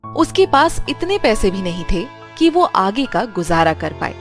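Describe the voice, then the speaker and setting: A woman's voice: medium pitch, very animated, a little slow. A woman reading scripted narration for a children's bedtime story. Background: music.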